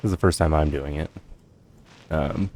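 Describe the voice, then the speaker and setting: Low-voiced man, casual gaming commentary. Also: moderate pace, darkish tone. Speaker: video game streamer. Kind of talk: casual let's-play commentary.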